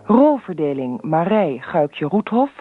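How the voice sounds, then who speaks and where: A man's voice: on the high side, very animated, slow pace, no room sound. A man with a Dutch accent from the Netherlands reading scripted credits as a radio drama announcer. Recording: tinny phone-like radio recording. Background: music.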